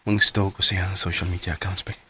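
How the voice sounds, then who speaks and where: A man's voice: low-pitched, level tone, brisk, slightly rough, exaggerated voice. A man playing a wistful young man. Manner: soft, hesitant, reflective. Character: young man regretting a lost love. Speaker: narrator and lead. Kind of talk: radio drama acting.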